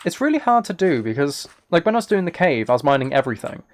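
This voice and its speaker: A man casually chatting on a live game stream, thinking aloud in a relaxed way, close to the microphone. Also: fairly high pitch, fast talker. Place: indoors at a computer.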